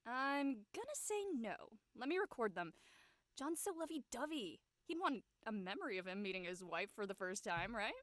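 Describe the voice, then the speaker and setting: A brash teenage girl, acted by a woman, teasing and gossipy in slangy drama dialogue. Her voice is high and bright, very animated and recorded dry and close. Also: normal speed, no echo. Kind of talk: audio drama acting, casual dialogue.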